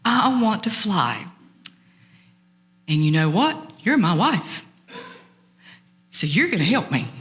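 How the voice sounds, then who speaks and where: A woman's voice: mid-range voice, big pitch swings, slow, long pauses, fairly bright tone. A woman voicing a frustrated, insistent male turtle who longs to fly, in an oral folktale. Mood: frustrated, complaining. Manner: insistent, complaining. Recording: long reverberant hall sound.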